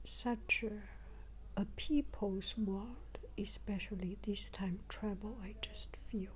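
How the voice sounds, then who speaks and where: An older woman giving a reflective travel vlog narration, haltingly, repeating phrases as she searches for words, in a breathy, whispery voice. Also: medium pitch, fairly even intonation, a little hiss or hum, rough recording. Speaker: travel vlogger.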